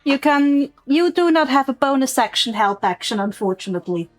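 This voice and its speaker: A woman's voice: high-pitched, expressive, moderate pace, dry recording, faint music behind. A woman narrating a tabletop game as game master, describing scenes and explaining rules patiently.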